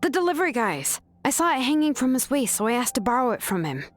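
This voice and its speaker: Shrewd, higher-voiced young craftswoman. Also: very animated, fast, soft background music. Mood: calm, probing. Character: composed, shrewd young craftswoman, coolly confronting. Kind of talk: dramatic video game voice acting.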